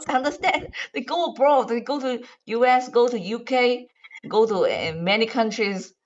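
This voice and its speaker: A woman who is a native Chinese speaker with a Chinese accent, chatting casually in halting English and often repeating words. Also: on the high side. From China. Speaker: medical device regulatory consultant. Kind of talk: casual language-practice chat. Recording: dull lo-fi online call audio.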